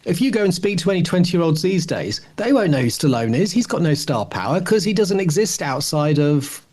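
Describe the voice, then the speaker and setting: A man's voice: fairly high pitch, big pitch swings, fairly quick, a little mellow, husky. Podcast host: man, around fifty, casual, opinionated, teasing. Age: late 40s or about 50. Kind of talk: casual discussion of television.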